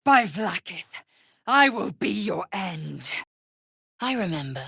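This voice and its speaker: An actress voicing a fierce, haughty alien warrior woman in a video game, with a husky voice and an imperious, clipped, disdainful manner. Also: medium pitch, sing-song, takes it slowly, bright, forceful tone, dry recording, studio quality, heavily acted. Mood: furious. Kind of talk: video game character voice lines.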